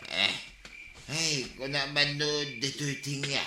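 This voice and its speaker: An actor playing a tipsy, bumbling elderly neighbor who stammers and slurs in a comedic drama. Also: male voice, mid-range voice, slow pace, bright, forceful tone, echoey room, a little hiss or hum. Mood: drunk rambling. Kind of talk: comedic radio drama acting.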